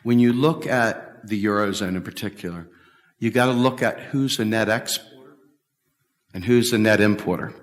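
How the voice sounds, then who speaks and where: A man's voice: on the low side, normal speed. Emphatic man speaking in a big hall. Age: middle-aged. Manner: emphatic, repetitive, talks with big hand gestures. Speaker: speaker at a policy event. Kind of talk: animated public talk and Q&A. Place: large bright hall with windows, standing before a stage table. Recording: echoey hall from far off.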